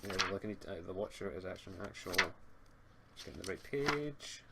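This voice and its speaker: A man's voice: deep voice, expressive, fast talker, creaky. A man acting as game master in a casual online tabletop game, talking hesitantly and muttering as he checks things. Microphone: computer mic on an online voice call. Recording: noisy home computer mic.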